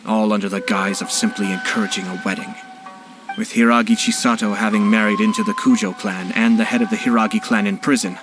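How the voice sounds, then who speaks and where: A man voices a courteous young aristocratic strategist in a video game, in a low voice. He reasons formally and methodically, composed and shrewd, with music playing behind him. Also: natural voice, low-quality recording. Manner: measured, formal, analytical. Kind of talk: scripted video game character dialogue.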